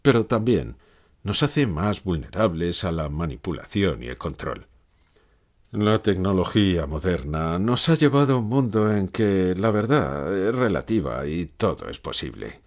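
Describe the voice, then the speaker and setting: A man's voice: sits low, sing-song, measured pace, darkish tone, exaggerated voice. A man playing a gloomy old philosopher who gives grave, pessimistic answers in a scripted interview.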